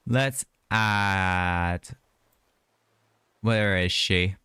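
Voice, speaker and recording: A man's voice: sits low, very slow, pushed and bright, clear, resonant tone, no room sound. A man giving casual, off-the-cuff live commentary while streaming a video game. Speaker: game livestreamer.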